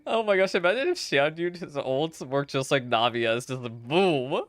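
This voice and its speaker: A young woman reacts casually to a game as she plays. Her voice is low yet swings widely, with an excitable, teasing manner, and it is recorded dry over faint music. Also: a little slow, no echo. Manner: giggly, excitable, teasing slang. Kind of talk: casual gaming reaction commentary.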